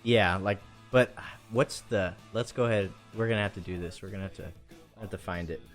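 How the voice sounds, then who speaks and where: A man's voice: fairly low pitch, a little fast, faint music behind. A man hosting a casual, rambling chat show, talking in an informal, slangy way. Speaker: show host. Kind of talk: casual chat about video game movies.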